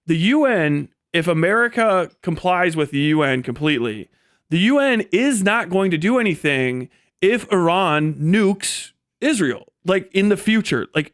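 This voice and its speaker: Man, high-pitched voice, very dry close sound, sweary livestream rant, blunt, sardonic. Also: no echo. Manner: animated, acting out imagined dialogue. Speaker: livestream host. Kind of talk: opinionated off-the-cuff livestream rant.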